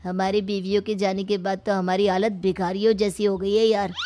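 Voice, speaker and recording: A woman's voice: mid-range voice, level tone, a little fast, mellow, a little hiss or hum, lightly acted. A woman doing a lazy greedy young man. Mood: eager. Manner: whiny, pleading, jokey. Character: lazy greedy young son-in-law, male, whiny. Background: faint background music.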